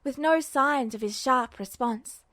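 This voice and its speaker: High-voiced woman reading fan fiction. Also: measured pace, sharp tone, no echo. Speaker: podfic reader.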